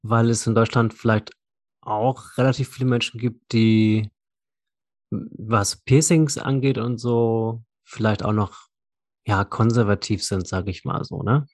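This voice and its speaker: A woman's voice: a bass voice, steady tone, unhurried, a little mellow, dry recording. A woman, a native German speaker, chatting casually as an interviewer. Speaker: interviewer and conversation partner. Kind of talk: casual bilingual chat.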